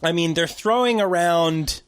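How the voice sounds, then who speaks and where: A man in his thirties co-hosting a casual podcast chat, excitable and animated, with gasps and quick asides. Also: fairly high pitch, smooth, no room sound, clean studio sound. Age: 30s. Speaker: podcast co-host.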